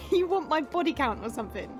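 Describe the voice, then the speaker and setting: Audio drama role: actress as a mischievous female monster, high, lively and sardonic, teasing with relish. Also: a little fast, over music. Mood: teasing, flirty. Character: playful, teasing female monster, powerful and lonely. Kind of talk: audio roleplay acting.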